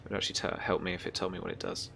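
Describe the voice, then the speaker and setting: Deep, gravelly-voiced man game master. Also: monotone, very fast, pushed and bright. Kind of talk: narrating and refereeing a tabletop roleplaying game. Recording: noticeable background noise.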